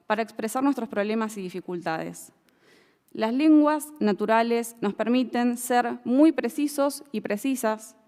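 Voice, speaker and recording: A woman's voice: medium pitch, clean tone, big-room sound. A woman gives a formal explanatory presentation, lecturing with many "eh" hesitations and checking in with the audience. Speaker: expert speaker presenting a bill.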